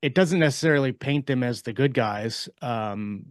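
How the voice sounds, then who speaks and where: A man's voice: mid-range voice, normal speed. A man with a mid-range voice talks casually as a podcast co-host. He explains in a rambling way, circling back to rephrase. The sound is dry, with no room echo. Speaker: podcast co-host and fiction author.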